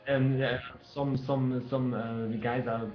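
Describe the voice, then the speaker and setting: A man co-hosting a stream, halting and full of fillers. His voice sounds roomy and echoey, and the audio is dull and lo-fi. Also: medium pitch, flat delivery, soft background music. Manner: halting, fillers, laughs at himself. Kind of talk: casual chat.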